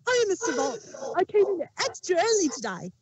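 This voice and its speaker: A man voicing a bubbly, excitable female pony baker from a cartoon, gushing in breathless chatter. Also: extremely high pitch, brisk, a little hiss or hum, voice pushed up. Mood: cheerful.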